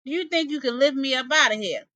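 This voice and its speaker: A woman's voice: high voice, fast, pushed and bright, no echo, pitched above the performer's own voice. A woman voicing a mean old male snake in a folktale, wheedling and pleading with a sly hiss. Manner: hissing, wheedling, repetitive. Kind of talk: animated folktale storytelling.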